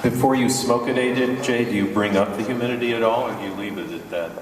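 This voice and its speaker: A man moderating a panel who speaks haltingly and searches for his words. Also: medium pitch, slight background noise. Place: large convention hall. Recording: echoey hall audio from far off.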